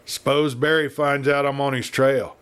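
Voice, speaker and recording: A man's voice: mid-range voice, little pitch movement, measured pace, fairly bright tone, clear, resonant tone, close to an everyday voice. A man voicing a big, ruthless Western villain in an audiobook, with a rustic American Western drawl and a growling, contemptuous manner. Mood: grim, resolved. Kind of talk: Western audiobook character reading.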